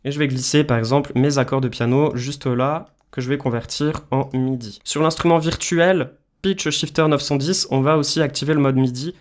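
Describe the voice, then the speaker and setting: A man's voice: medium pitch, steady tone, normal speed, few pauses. A man presenting a music production tutorial, explaining things informally, step by step. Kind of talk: casual screen-share software tutorial.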